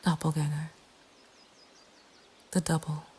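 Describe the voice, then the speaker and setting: Slow, low, whispering woman narrator. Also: little pitch movement. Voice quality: breathy, whispered. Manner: slow, hushed, deliberate delivery. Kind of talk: whispered ASMR horror storytelling, scripted narration. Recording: faint ambient background noise. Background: soft nature ambience.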